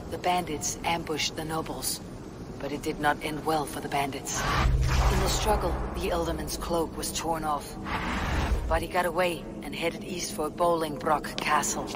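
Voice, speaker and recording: A battle-hardened Viking warrior woman from a video game, with a low voice in a reverberant hall over music. She is stern and blunt, speaking in a measured, commanding way. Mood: matter-of-fact. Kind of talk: video game cutscene acting.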